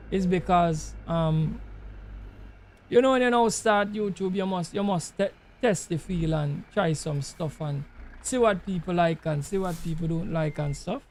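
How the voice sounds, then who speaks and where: A man's voice: sits high, a little mellow, slight background noise. A man from Trinidad and Tobago with a Tobagonian Creole English accent, chatting in a laid-back, rambling way as a gaming YouTuber and slipping into creole speech. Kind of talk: casual chat while playing a truck game.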